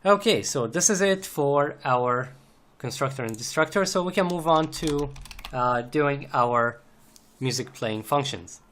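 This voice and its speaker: Slow-paced man narrating a coding tutorial. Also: mid-range voice, expressive, a bit bright. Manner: methodical step-by-step explaining while typing. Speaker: programming tutorial instructor. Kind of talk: unscripted screencast tutorial narration. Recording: noisy, keyboard clicks and typing. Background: computer keyboard typing and clicks.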